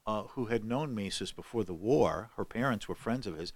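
A man's voice: lowish voice, expressive, normal speed, no room sound. An older man telling a long story on a podcast, with many fillers and restarts. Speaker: guest scholar. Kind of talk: podcast conversation.

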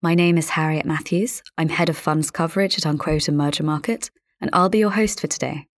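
Low-pitched woman hosting a podcast. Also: fairly quick, dry recording. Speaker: podcast host. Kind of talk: scripted podcast narration and interviewing.

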